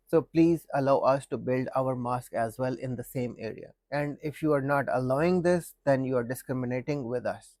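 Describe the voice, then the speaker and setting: A man from Pakistan with a Pakistani accent delivers an opinion monologue in a medium-pitched voice. He explains step by step, deliberately, often restating a point in other words. The sound is dry, with no room echo. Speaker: commentator.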